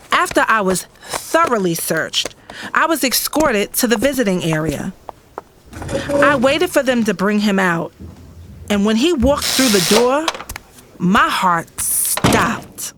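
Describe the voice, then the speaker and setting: A woman's voice: a little high, noisy background. A woman with an American accent playing an emotional, insistent young girlfriend in an audio drama. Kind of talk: first-person dramatic narration and dialogue in audio drama.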